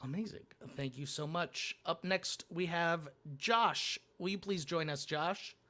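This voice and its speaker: A man's voice: fairly high pitch, unhurried, bright, forceful tone. An American man presenting as the host of a game show, introducing contestants. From Michigan, United States. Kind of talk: scripted show hosting, introductions.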